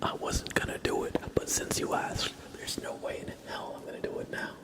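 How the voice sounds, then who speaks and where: A man doing a whispered ASMR livestream, chatting to viewers in a breathy, playful, teasing way with dry humor. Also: a little fast. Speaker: ASMR live streamer.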